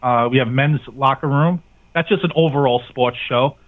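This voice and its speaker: A man's voice: medium pitch, normal speed. A man speaking as a guest in a casual interview, talking about his sports and comedy radio network. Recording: thin phone-like radio call-in line.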